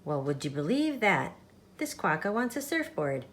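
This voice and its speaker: A woman's voice: fairly low pitch, big pitch swings, moderate pace. A woman voicing an admiring, astonished onlooker in a children's storybook, speaking emphatically and with wonder. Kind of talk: children's storybook character reading.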